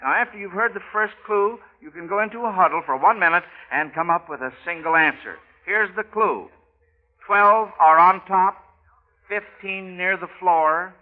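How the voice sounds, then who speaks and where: High-pitched American man hosting old radio quiz. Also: normal speed. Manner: chatty, encouraging the children. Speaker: quiz show host. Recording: old narrow-band radio recording.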